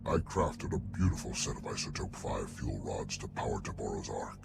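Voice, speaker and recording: Haughty giant alien scientist, extremely low voice, pompous, game cutscene, loud music behind. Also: male voice, very animated, moderate pace, vocal fry, exaggerated voice. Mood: proud, urgent. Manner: pompous, wordy, condescending. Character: haughty male Hutt scientist, huge slug-like alien genius. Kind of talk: video game cutscene acting.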